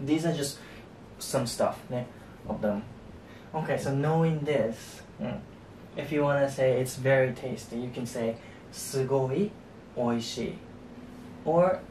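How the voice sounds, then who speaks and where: A young man with a Japanese accent, teaching a relaxed whiteboard lesson and tagging his sentences with a soft "ne" and "you know". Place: small indoor room at a whiteboard. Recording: echoey room, distant mic, faint rumble.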